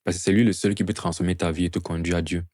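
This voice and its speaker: A man's voice: low-pitched, flat delivery, fast talker, a little mellow, no echo, clean studio sound. A man preaching an evangelistic sermon to a gathering in an earnest, teaching manner, often posing rhetorical questions.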